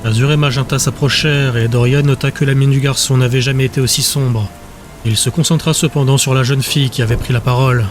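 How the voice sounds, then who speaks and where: A man's voice: mid-range voice, steady tone, quick pace, faint music behind. A man giving scripted narration for a fantasy audiobook.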